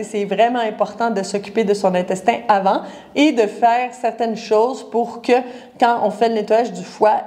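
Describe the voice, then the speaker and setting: A woman's voice: mid-range voice, mellow. Chatty Quebec woman in her forties. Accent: Quebec French. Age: 40s. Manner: chatty, addresses the viewer directly, explains with everyday comparisons. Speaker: naturopath. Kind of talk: casual live talk to viewers.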